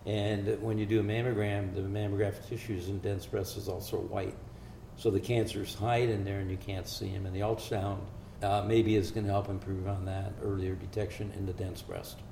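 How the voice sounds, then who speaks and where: An older man with a low, gravelly, dark voice explains things in a measured, steady way. He sounds like a physician answering interview questions in an echoey room with faint ambience behind him. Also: slight background noise. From Hungary. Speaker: physician guest.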